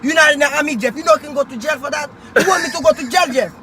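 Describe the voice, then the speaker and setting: A man's voice: very high voice, racing, a little hiss or hum. A man doing a scolding immigrant dad. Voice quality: heavy accent, repeats son's name constantly. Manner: nagging, exasperated, loud bursts. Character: strict immigrant father, middle-aged, scolding. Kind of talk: comedy skit.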